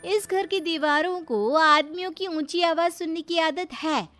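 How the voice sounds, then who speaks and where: A woman's voice: extremely high pitch, measured pace, pushed and bright, soft background music, pitched above the performer's own voice, full character voice. A woman voicing a bold young daughter-in-law, outspoken and indignant, in a family moral story. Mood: sarcastic, indignant.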